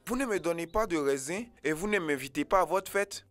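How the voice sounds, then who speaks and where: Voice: mid-range voice, animated, a little fast, a bit bright, faint music behind, close to an everyday voice. An actor voicing a lonely, gluttonous, big-mouthed animal uncle in a French children's cartoon, with a theatrical, plaintive delivery. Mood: woeful.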